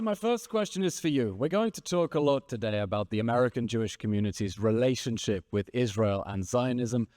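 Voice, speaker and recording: A man's voice: medium pitch, darkish tone, no room sound. Rousing man in his thirties, dry sound. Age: 30s. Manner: rousing, repetitive rhetorical phrasing. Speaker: moderator. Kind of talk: stage speech and panel moderation. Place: conference stage.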